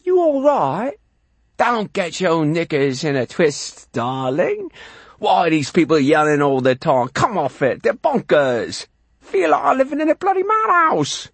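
A man's voice: a little high, wildly swooping pitch, measured pace, fairly bright tone, breathy edge, dry recording, pitched above the performer's own voice, exaggerated voice. An actor playing an eight-year-old boy clowning as a cheeky, swaggering character with an English accent, in a stage monologue. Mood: cheeky defiance. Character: cheeky, cocky Cockney-style boy alter ego, eight.

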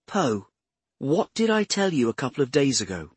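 A man's voice: mid-range voice, very animated, normal speed, slightly rough, no echo, pitched above the performer's own voice. A man voicing an earnest, brainy young woman analyst who explains things precisely and insistently in an audiobook.

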